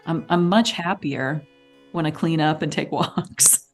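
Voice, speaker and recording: Female podcast guest, slightly low voice, expressive conversational delivery, acts out examples, soft music underneath. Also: unhurried. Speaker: podcast guest, artistic director and writer. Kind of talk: conversational explaining.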